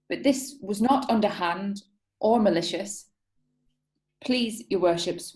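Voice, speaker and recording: A woman's voice: mid-range voice, darkish tone, lightly acted. Woman playing a courteous, pleading defence lawyer. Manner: formal, conciliatory. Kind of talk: radio courtroom drama acting.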